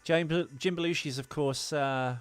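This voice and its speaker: A man's voice: on the high side, steady tone, normal speed, clean tone. A man co-hosting a podcast, chatting casually and comically about films in a rambling, joking way. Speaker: podcast co-host. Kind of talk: casual comedic film chat.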